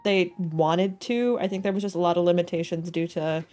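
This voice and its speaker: A woman's voice: lowish voice, fairly even intonation, normal speed, warm tone. A woman doing casual live video game commentary, thinking aloud in a playful way. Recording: faint game music behind the voice. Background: game soundtrack.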